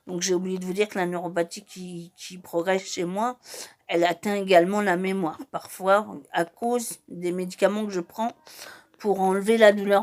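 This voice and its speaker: A woman's voice: mid-range voice, darkish tone. A woman in her forties, from Madeira and a native Portuguese speaker, talking about her life in a hesitant way with many fillers and restarts. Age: 40s. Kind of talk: casual personal monologue.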